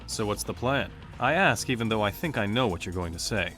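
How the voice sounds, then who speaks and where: A man's voice: fairly low pitch, animated, fairly quick, fairly bright tone, faint music behind. A man playing an analytical, skeptical special-ops team member in a video game, probing as he reasons aloud. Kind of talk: English dub acting in a video game cutscene.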